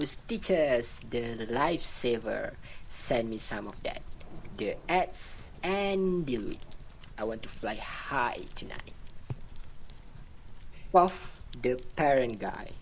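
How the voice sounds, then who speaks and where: A callous fake psychiatrist in an amateur radio drama, acted out by a performer with a mid-pitched voice. The delivery is slow and mocking, dragging words out to taunt. There is faint noise on the recording. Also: poor audio. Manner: taunting, flippant, drawn-out words. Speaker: group therapy leader.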